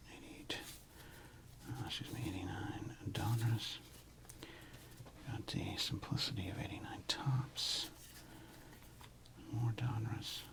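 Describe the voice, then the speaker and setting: A man whispers in a low register, with very heavy creak running through his words. He sounds like an ASMR host thinking aloud, unscripted and rambling, in a relaxed, casual way. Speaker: host of a sports card ASMR channel. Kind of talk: ASMR, unscripted whispered commentary on a card collection.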